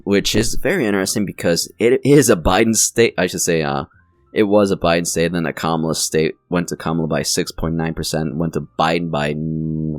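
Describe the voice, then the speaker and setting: A man's voice: low voice, dry recording. A man hosting a casual election prediction channel, rambling and correcting himself as he talks, his voice a little strained and sore. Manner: rambling, self-correcting, with frequent fillers. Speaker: political channel host.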